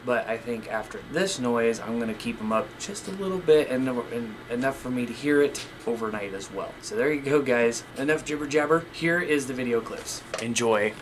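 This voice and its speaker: A man's voice: mid-range voice, normal speed, few pauses, background noise. A man chatting casually to viewers as a radio hobbyist, informal and plain-spoken. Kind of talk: casual vlog talk to viewers.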